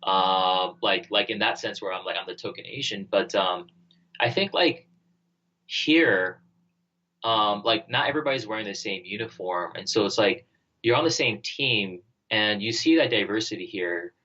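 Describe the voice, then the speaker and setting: Bright-voiced low-pitched man thinking aloud. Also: highs cut off. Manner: halting, thinking aloud, heavy use of "like" and "you know". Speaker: interview guest, startup co-founder and former army officer. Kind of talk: conversational podcast interview answers.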